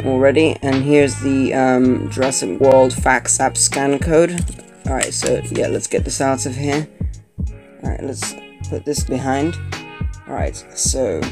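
A young man from Colombia, talking casually while unboxing and reviewing a toy, rambling with lots of fillers and restarts. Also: mid-range voice. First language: not Spanish. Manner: chatty, hesitant, many fillers and restarts. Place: indoor room at a table. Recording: background guitar music. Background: guitar music.

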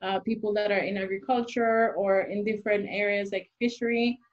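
A woman's voice: medium pitch, steady tone, brisk. Young woman explaining over a muffled call. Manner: explains step by step with worked examples. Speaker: immigration consultant. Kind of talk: expert interview over video call. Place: small room indoors. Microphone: video call webcam. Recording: dull lo-fi video-call audio.

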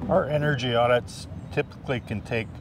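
A man's voice: mid-range voice, fairly even intonation, a little fast. A man working as an energy auditor, telling anecdotes in an interview. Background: clear background music.

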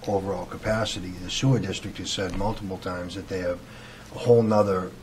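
A man's voice: sits low, fairly even intonation, a little fast, darkish tone. A man who is a board member, debating informally at a public meeting. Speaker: planning board member and property developer. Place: indoor municipal meeting room. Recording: noisy meeting room microphone pickup.

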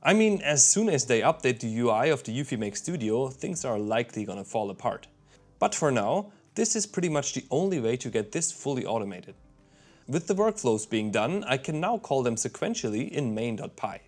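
A man in his thirties gives an upbeat, technical voice-over about his workshop project. His voice sits at an ordinary middle pitch, recorded close on a lapel mic. Soft music plays faintly underneath. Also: very animated, fairly quick. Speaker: maker and YouTube host. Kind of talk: scripted tech voice-over narration. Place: workshop with shelves of equipment.

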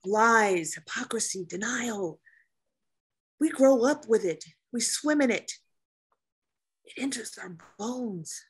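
A woman acting a weary, blunt, bitter naval officer with a husky voice in a dramatic script reading. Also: a little high, expressive, slow pace, long pauses, heavily acted. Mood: intense, blunt.

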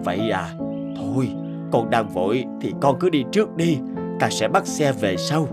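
A frail old father from an animated moral tale, acted by a man. He is meek and lonely, stumbling hesitantly over his words. Clear music plays behind his voice. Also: mid-range voice, close to an everyday voice. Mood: resigned. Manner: hesitant, stammering, halting. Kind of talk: animated moral story dubbing.

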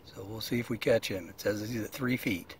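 A man's voice: lowish voice, fairly quick, a little hiss or hum. A middle-aged man with an American accent gives a casual, plain-spoken, folksy product review while out in a kayak. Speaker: kayak angler reviewing gear. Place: mostly outdoors in a kayak on open water.

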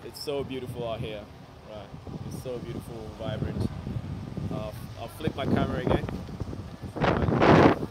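A young man from Ghana talks to his live-stream viewers while walking down a sunny city street, his phone held at arm's length. He chats in a relaxed, repetitive way and keeps saying "okay" and "yeah". Traffic and street noise run clearly underneath his voice. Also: medium pitch, unhurried. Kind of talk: casual live-stream chat, reading viewer comments aloud. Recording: phone recording on a noisy street.

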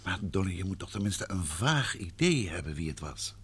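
An actor playing a courteous, composed gentleman detective in an old radio drama, measured in manner, on a noisy old recording. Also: low-pitched, sing-song, slightly rough, full character voice. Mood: soothing, probing. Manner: soothing, measured, probing.

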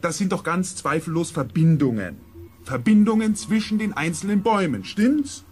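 An actor playing a thoughtful scientist on a space expedition in a radio play, musing aloud in a husky voice. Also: male voice, high voice, expressive, normal speed, a little mellow. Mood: musing, probing. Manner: musing, reasoning in questions.

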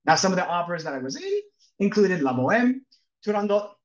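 A young man walks listeners through his slides with a friendly, lightly joking manner. His voice sits a little high for a man. It comes through a webcam with a distant, dull, muffled tone. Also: sing-song, quick pace, roomy sound. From New Jersey, USA. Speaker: presenter. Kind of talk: slide presentation on a video call. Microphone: webcam on a video call. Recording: distant, dull-toned video call audio.

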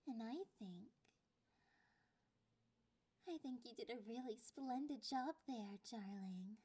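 A woman voicing a clingy, possessive catgirl girlfriend in an ASMR roleplay, with a playful, condescending and drawn-out delivery. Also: a little high, dark tone, slightly rough, pitched below the performer's own voice. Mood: soft, affectionate.

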